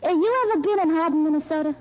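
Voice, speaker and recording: A woman's voice: extremely high pitch, very fast. An actress playing a brash, chatty young working-class woman in a 1940s radio drama, slangy and wisecracking. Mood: breezy. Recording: dull old radio recording.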